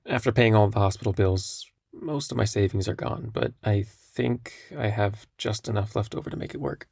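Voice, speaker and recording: A man playing a terminally ill young patient in an intimate audio drama, speaking in a husky, creaky voice with a halting, understated manner. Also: on the low side, a little mellow, no room sound, clean studio sound. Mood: gentle, wry. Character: young terminally ill male hospital patient, numb, gently self-deprecating.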